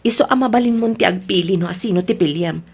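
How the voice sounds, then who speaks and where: A woman's voice: fairly low pitch, fast talker, husky. A woman playing an unhappy wife and mother who wants her freedom, in an Ilocano radio drama. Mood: bitter, resolute.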